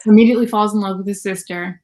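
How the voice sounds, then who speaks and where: A woman's voice: medium pitch, fairly even intonation, very fast, a little mellow, low-quality recording. A woman hosting a casual book discussion in an informal, agreeable manner. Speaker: livestream host of a book channel.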